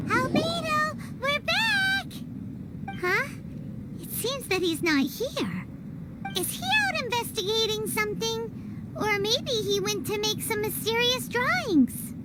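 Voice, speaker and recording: A woman voicing a small, cheeky, childlike fairy companion in a video game, with a squeaky voice and exclaiming manner. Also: sing-song, takes it slowly, heavy background noise, exaggerated voice. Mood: excited, then puzzled. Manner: refers to self by name, exclaiming. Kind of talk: animated game voice acting.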